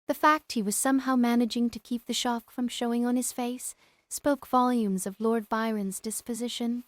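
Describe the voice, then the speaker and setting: A woman's voice: fairly high pitch, fairly even intonation, moderate pace, no echo. A woman narrator reading a scripted story aloud as an audiobook.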